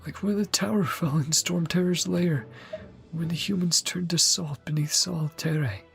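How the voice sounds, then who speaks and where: A man's voice: fairly high pitch, a little slow, gravelly, a bit bright, soft background music. A man streaming a video game reaction, reading dialogue aloud in clipped fragments with murmured asides.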